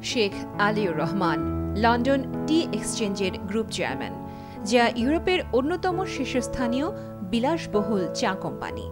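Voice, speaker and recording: A woman's voice: fairly high pitch, big pitch swings, moderate pace. A woman with a Bangladeshi accent reading a scripted documentary narration over music. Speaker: programme narrator. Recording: clear music bed behind voice. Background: background music.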